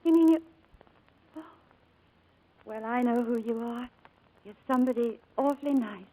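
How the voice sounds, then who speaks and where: A woman's voice: on the high side, moderate pace, lightly acted, poor audio. An actress in a 1940s-style radio drama playing a kind, chatty young showgirl, coaxing and protective. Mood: tender, encouraging. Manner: bubbly, coaxing, gently reassuring. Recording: old radio broadcast recording.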